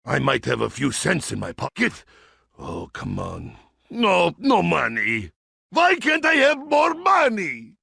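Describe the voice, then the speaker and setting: An actor with a Russian accent playing a boisterous, crude, drunk Cossack zombie-slayer in a video game, shouting in broken English. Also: male voice, sits high, huge pitch swings, slow pace, creaky, husky, no room sound, clean studio sound, heavily acted. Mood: giddy rambling. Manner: shouting gleefully, broken English without articles. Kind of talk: video game character voice lines.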